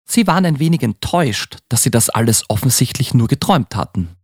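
A man narrating a children's Christmas audio play as a scripted story, with an expressive read-aloud delivery. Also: mid-range voice, a little fast, husky, no echo, studio quality.

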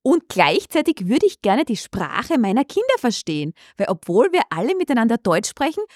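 A woman talks fluently, her pitch rising and falling in a lively way as she answers. The recording is close and dry. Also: moderate pace, dry recording. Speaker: passer-by interviewee.

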